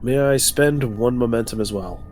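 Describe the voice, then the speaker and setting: Mid-pitched man chatting as a tabletop player. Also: moderate pace, darkish tone, a little hiss or hum. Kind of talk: casual game-table chat.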